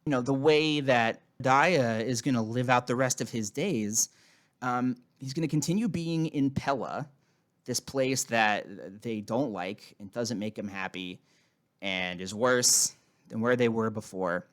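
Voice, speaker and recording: A man's voice: medium pitch, unhurried. A man giving casual, mocking commentary on a film in a sarcastic, irreverent way. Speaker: video host and commentator.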